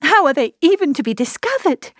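Narrator playing anguished young lady, high voice, breathless, agitated, self-reproaching, classic novel, dry close recording. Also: normal speed, no echo, natural voice. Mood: agitated, despairing.

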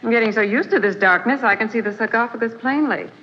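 An actress playing a spirited young assistant who asks eager questions, in a 1940s radio drama. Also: female voice, fairly high pitch, quick pace, lightly acted. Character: plucky young woman, detective's assistant. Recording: dull, hissy 1940s radio broadcast.

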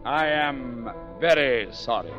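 An actor playing a masked phantom in a 1940s radio drama, polite, measured and quietly ominous. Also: male voice, mid-range voice, animated, loud music behind the voice. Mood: polite menace. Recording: dull, band-limited 1940s radio broadcast.

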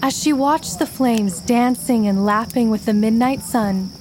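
A woman's voice: a little high, a little slow, a little mellow, slight background noise. A woman reading a scripted biographical story for children as its narrator.

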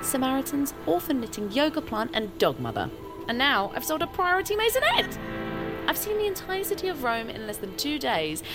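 A young British high achiever in an audio drama. Her voice is fairly high and swings widely, polite and earnest with an uneasy edge. Music plays behind her. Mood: proudly content. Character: eager, uneasy young high-achieving female estate agent.

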